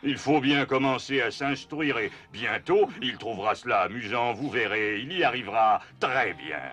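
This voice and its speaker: An actor playing a courteous, reassuring messenger of a good fairy in a children's cartoon, speaking in a soothing, measured way. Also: male voice, mid-range voice, lively intonation, pushed and bright, faint music behind. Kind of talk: French-dubbed children's cartoon acting.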